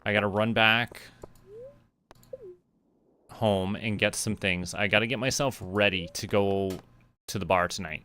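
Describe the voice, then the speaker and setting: A man from Canada giving casual, relaxed gameplay commentary on a livestream, playfully muttering to himself and repeating phrases. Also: lowish voice, unhurried, long pauses, slight background noise. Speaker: game livestreamer. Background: game music.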